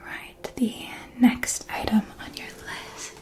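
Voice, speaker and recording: A woman playing a courteous, helpful witch supply shopkeeper in an ASMR roleplay, speaking in a soft breathy whisper with a gentle, measured manner. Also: natural voice. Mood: soothing, explanatory. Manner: slow, gentle, measured, attentive salesmanship.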